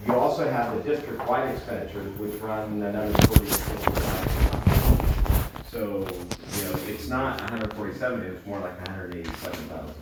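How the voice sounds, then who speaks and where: A man's voice: fairly low pitch, normal speed. A man presenting finances to a board, explaining figures step by step and checking that listeners follow with an okay. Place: indoor public board meeting room. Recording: echoey meeting room, distant mic, noisy.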